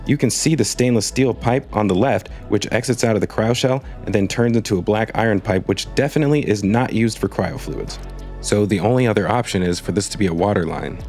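A man's voice: lowish voice, a little mellow. Man narrating with wry storytelling asides. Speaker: space news video host. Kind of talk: scripted voice-over narration.

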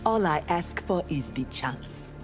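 An actress playing a proud, earnest young armored ninja woman in a video game, speaking in formal, measured, resolute lines. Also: lowish voice, expressive, soft background music. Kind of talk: dramatic video game dialogue.

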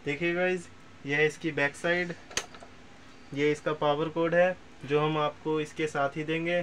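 A man's voice: on the high side, flat delivery, a little slow, fairly bright tone. A man giving a casual product demonstration as a seller, repeating himself as he explains each step. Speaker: seller presenting second-hand audio equipment. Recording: clear background noise, amateur room recording.

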